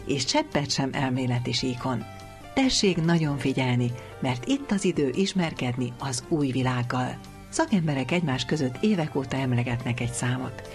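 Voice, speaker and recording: A woman's voice: a bass voice, a little mellow. A woman hosting a radio programme, reading scripted narration. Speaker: radio host. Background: music behind the voice.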